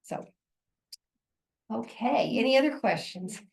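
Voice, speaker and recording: A woman's voice: a little high, animated, takes it slowly, gravelly. A woman giving an informal presentation at a community meeting, earnest and rambling, often correcting herself.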